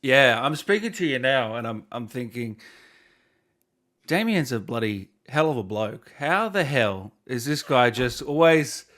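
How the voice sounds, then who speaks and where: A man's voice: medium pitch, expressive, a little slow, a bit bright. A man interviewing casually in a matey, admiring manner. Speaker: interviewer, host.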